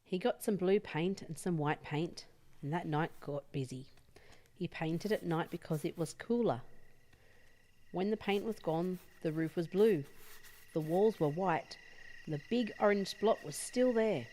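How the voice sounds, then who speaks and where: A woman reading a picture book aloud as an expressive children's storyteller. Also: on the low side, a little slow. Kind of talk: expressive read-aloud bedtime story narration.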